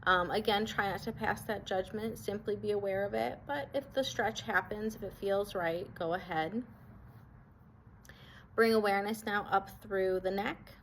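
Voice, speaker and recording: Female guide for a grounding exercise, mid-pitched, soothing and permissive instruction, light ambient noise. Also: normal speed, low-quality recording. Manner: gentle, reassuring, permissive instruction. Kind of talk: guided grounding body scan meditation.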